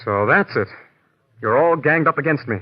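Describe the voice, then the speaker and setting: Husky, sneering radio villain. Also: male voice, fairly high pitch, animated, moderate pace. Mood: defiant, angry. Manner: sneering, curt. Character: sneering, defiant big man, cornered murderous doctor villain. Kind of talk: old-time radio drama acting. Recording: muffled vintage radio recording.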